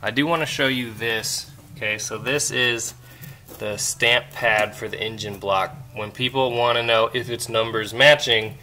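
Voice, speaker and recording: A man with a bright, forward voice at a middle pitch talks through a car repair step by step. He sounds relaxed and casual and now and then asks viewers a question. It was recorded outdoors on a camera held close while he works. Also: unhurried. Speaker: car restoration vlogger. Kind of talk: casual how-to narration. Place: outdoor driveway by a car engine.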